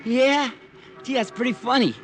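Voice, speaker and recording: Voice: mid-range voice, moderate pace, close to an everyday voice. An actor playing a brash, slangy young newsboy in an old radio drama, calling out like a street vendor. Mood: cheeky. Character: brash young newsboy hawking late-edition papers. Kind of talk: 1940s radio acting. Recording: noisy old radio recording, street noise.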